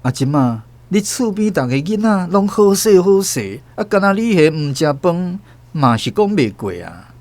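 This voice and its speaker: A man voicing a slick male government official in a suit who talks people into dropping a complaint, smooth, persuasive and condescending. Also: high-pitched, sing-song, moderate pace, a little mellow, dry recording.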